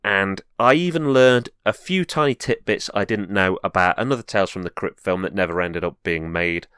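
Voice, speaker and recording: A man's voice: deep voice, moderate pace, fairly bright tone, smooth, no room sound, clean studio sound. A man presenting a semi-scripted review as host, speaking in an earnest, enthusiastic way. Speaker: host and reviewer of horror films.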